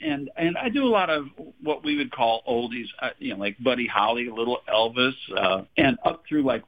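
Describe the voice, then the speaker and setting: Chatty singer-songwriter in his sixties on a tinny phone line. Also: medium pitch. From Texas. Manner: chatty, laughs often, repeats words when starting. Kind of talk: radio interview, casual storytelling.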